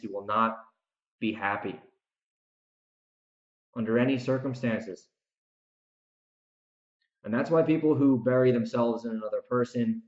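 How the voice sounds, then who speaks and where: A man hosting a podcast as its author, giving an unscripted, opinionated monologue, emphatic, with repeated words and dramatic pauses. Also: mid-range voice, a little mellow, reverberant, muffled recording.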